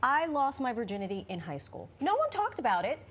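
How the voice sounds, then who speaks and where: A woman's voice: fairly high pitch, big pitch swings, a little fast, husky. A woman in her fifties hosting a TV talk segment, bubbly and effusive with her guests. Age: 50s. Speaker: morning show host. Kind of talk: upbeat TV talk interview.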